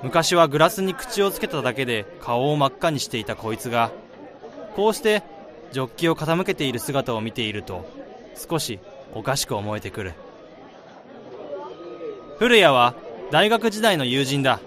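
An easygoing married man of about thirty, played by a male actor in a radio drama. His mid-pitched voice moves freely up and down in casual banter and narration. A busy crowd chatters behind him. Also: sing-song, normal speed, long pauses, natural voice. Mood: wistful narration. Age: around 30. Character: easygoing married best friend of the groom, narrating wistfully. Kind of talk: radio drama acting with first-person narration.

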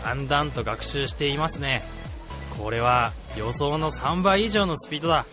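An actor playing a cocky, slacking senior AI researcher with a brash casual manner in a radio drama. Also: male voice, a little high, normal speed, music in the background, natural voice. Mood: pleased. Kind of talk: radio drama acting with first-person narration.

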